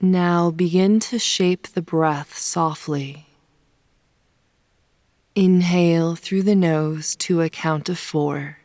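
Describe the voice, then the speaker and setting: A woman leading a guided yoga nidra meditation in a soft, soothing, unhurried manner, with phrase ends sometimes hushed. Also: fairly low pitch, steady tone, no room sound, studio quality. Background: soft pink noise.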